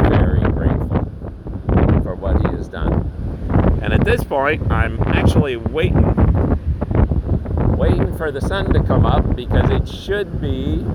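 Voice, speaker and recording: A man's voice: medium pitch, narrow, tinny audio. Congested man in his sixties, windy outdoor recording. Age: 60s. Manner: easygoing storytelling with frequent fillers and restarts. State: congested and a little raspy. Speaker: podcast host. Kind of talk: rambling personal podcast monologue. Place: outdoors by the beach at dawn. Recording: loud wind and water noise on the mic.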